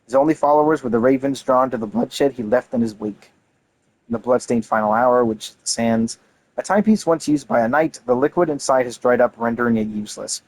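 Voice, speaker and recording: Male gamer, mid-pitched voice, reading lore aloud with casual, enthusiastic commentary. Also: brisk, no echo, rough recording. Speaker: gaming content creator.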